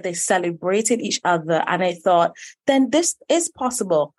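A woman tells her childhood story as a guest on a podcast. Her voice sits on the low side, and she speaks in an earnest, reflective way. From Barbados. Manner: earnest, reflective, with frequent "you know". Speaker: guest, life purpose coach. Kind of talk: personal storytelling in a podcast interview.

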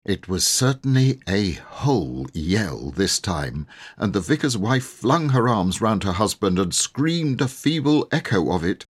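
A man reading a storybook aloud as an audiobook narrator, in a measured, dramatic and expressive way. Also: fairly low pitch, breathy edge. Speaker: audiobook reader.

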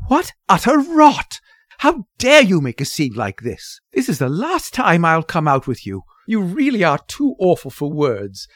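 A man's voice: on the high side, wildly swooping pitch, measured pace, dry recording, studio quality, pitched above the performer's own voice, full character voice. A man voicing a bored, disdainful young society girl, imperious and impatient, in a storybook reading. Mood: furious.

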